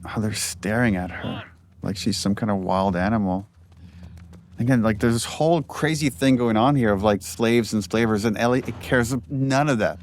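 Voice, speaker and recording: A man's voice: lowish voice, normal speed, slight background noise. A man giving casual director's commentary on game scenes, talking informally through what he sees.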